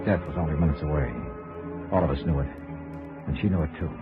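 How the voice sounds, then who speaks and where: An actor playing a calm, world-weary insurance investigator in a 1950s radio noir, clipped and matter-of-fact. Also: male voice, rumbling low, fast talker. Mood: somber narration. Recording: muffled old radio recording with music.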